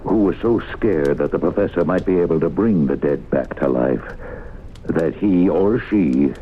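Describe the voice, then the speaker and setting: A man's voice: very deep voice, moderate pace. An actor playing a dreamy, earnest small-town man, hesitant and folksy, in a radio drama. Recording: noisy, tinny old radio broadcast.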